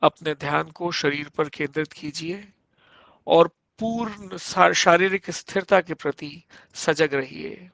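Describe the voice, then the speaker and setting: Male meditation instructor, fairly high voice, very slow measured phrasing with pauses, guiding deep relaxation. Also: creaky, fairly bright tone, dry recording. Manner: measured, instructive, pausing between short phrases. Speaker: yoga instructor. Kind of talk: guided yoga nidra meditation, slow spoken instructions.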